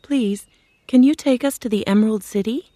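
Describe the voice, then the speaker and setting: A woman's voice: a little high, normal speed, dark tone, no room sound, pitched above the performer's own voice, lightly acted. A woman voicing a polite, eager young girl in a storybook reading.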